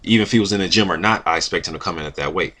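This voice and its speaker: Deep, bright-voiced man talking boxing. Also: racing. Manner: informal, emphatic, slangy with occasional swearing. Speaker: boxing commentator, vlog host. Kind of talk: casual opinion commentary, reading quotes aloud.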